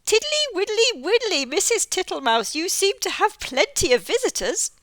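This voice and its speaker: A woman's voice: very high-pitched, big pitch swings, normal speed, a bit bright, dry recording, voice pushed up, heavily acted. A British woman voicing a greedy, toothless male toad who chants in a wheezy, sing-song way. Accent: British English.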